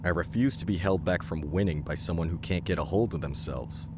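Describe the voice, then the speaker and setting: A man's voice: low-pitched, fairly quick, background noise, natural voice. An actor playing a blunt, crude male ex-soldier who taunts his squad in an audio drama. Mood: taunting. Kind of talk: audio fiction acting. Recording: music bed underneath.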